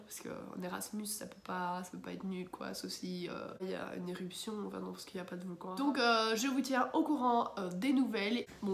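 A woman's voice: mid-range voice, roomy sound, a little hiss or hum. A young Belgian woman with a cold and blocked nose, chatting casually to camera in her bedroom, rambling with many fillers. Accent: Belgian French. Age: young adult. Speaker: vlogger. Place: bedroom at home, indoors.

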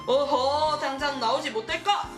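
A woman voicing a stern village gentleman in a storybook, scolding loudly and pompously. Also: high-pitched, measured pace, roomy sound, far from the mic, over music. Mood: indignant.

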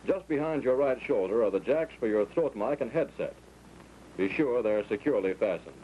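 An American man speaks as a flight instructor in a wartime-era training film, at an average male pitch. His delivery is scripted and orderly, taking each step in turn. The old 1940s soundtrack is dull and plainly noisy. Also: lively intonation, moderate pace.

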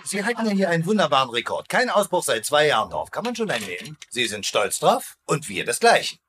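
Scheming POW colonel: quick, animated, cocky, laying on false flattery. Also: male voice, on the high side, slight background noise. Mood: mock flattering. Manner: wry, mock-flattering. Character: cocky, sly American POW colonel, smooth-talking schemer. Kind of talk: dubbed sitcom acting.

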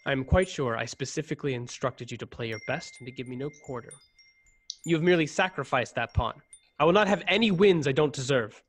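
A man's voice: mid-range voice, animated, fairly quick, slight background noise, natural voice. A young man acting a proud, rigid, volatile starship ensign in a radio play, speaking formally and in clipped phrases. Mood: smug, stern. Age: young adult. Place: recorded over a video call, close studio mic. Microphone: desktop microphone, headset worn.

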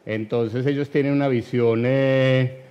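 Colombian guy in his fifties, dark mellow voice, thinking out loud in an interview, slightly dull sound. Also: mid-range voice, steady tone. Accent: Colombian, Medellín area. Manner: halting, thoughtful, checks in with tag questions. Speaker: guest, writer. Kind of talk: reflective conversational interview answers. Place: TV studio.

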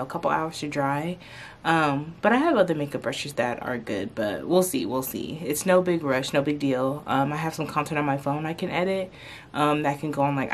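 Low-voiced young woman rambling casually. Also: slight background noise. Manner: rambling, relaxed, lots of "like" and "um". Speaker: vlogger. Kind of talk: casual chat to camera. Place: bedroom at home, indoors. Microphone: camera close in front of her face.

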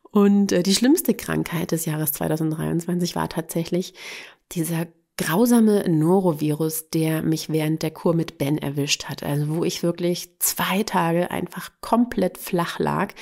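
A woman's voice: fairly low pitch, darkish tone. A woman in her thirties hosting a solo podcast, talking candidly and casually with a slightly congested voice from a cold. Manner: chatty, candid, with fillers and laughs. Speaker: podcast host.

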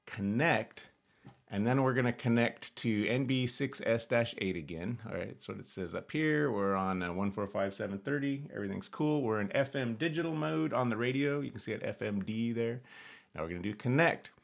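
A man's voice: medium pitch, moderate pace, creaky. An American man in his fifties giving a casual tutorial, thinking aloud as he narrates each step, recorded in a small room at home. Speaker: host of an amateur radio tutorial. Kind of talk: casual screen-share demonstration.

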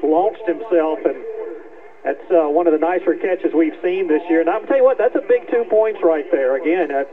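Man, fairly high voice, measured explanatory football talk, conversational, dull radio broadcast sound. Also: a crowd in the background. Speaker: sideline football reporter. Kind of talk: conversational game analysis.

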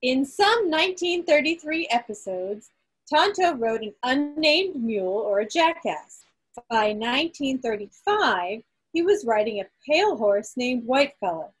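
A woman with a fairly high, slightly husky voice reads out quiz results as host. She speaks in a measured, emphatic way, recorded over a group video call. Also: very animated, low-quality recording. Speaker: quiz host at a club meeting.

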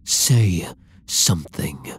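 A man playing a dominant, obsessive supervillain in an ASMR roleplay, breathy and husky, speaking with slow, possessive menace. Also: sits low, animated, slight background noise, heavily acted. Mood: coaxing, threatening. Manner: slow, menacing, possessive, often dropping to a whisper. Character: dominant, obsessive male supervillain taunting captured hero. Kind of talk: ASMR roleplay, scripted dramatic monologue.

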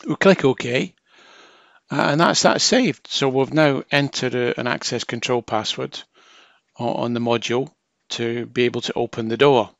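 A man's voice: mid-range voice, normal speed, vocal fry, no room sound. A man narrating a hands-on technical tutorial as a trainer, explaining informally step by step.

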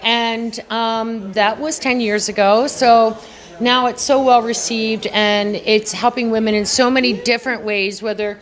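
A woman's voice: on the high side, fairly even intonation, measured pace. A middle-aged woman, an award honoree, talking earnestly and chattily in a red carpet interview at an indoor gala, with frequent little laughs. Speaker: award honoree, beauty entrepreneur. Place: indoor gala press wall. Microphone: handheld mic held by interviewer.

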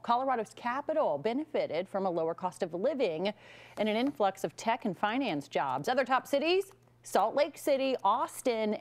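A woman in her thirties reading morning news stories in a TV studio, with a friendly read. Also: medium pitch. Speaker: news anchor.

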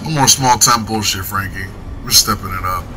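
A man playing a jumpy, foul-mouthed small-time crook in a horror comic dub, streetwise and excitable. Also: on the low side, moderate pace, background noise, lightly acted. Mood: businesslike.

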